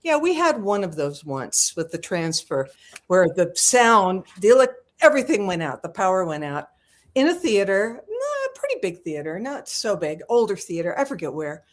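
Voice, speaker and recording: Older woman guest, lively soft-spoken. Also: medium pitch, normal speed. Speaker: guest singer. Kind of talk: casual interview chat.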